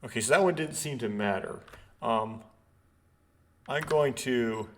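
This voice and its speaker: A man doing a casual let's play, haltingly thinking aloud and reading visual novel text out. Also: medium pitch, fairly bright tone, slight background noise. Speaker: let's player. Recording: background music behind voice. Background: game music.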